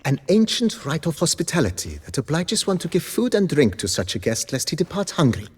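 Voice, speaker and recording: An actor playing a courteous, learned gentleman in a fantasy video game, speaking in a formal manner with a husky voice. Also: on the high side, huge pitch swings, exaggerated voice. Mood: courteous, grave. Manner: formal, measured wording.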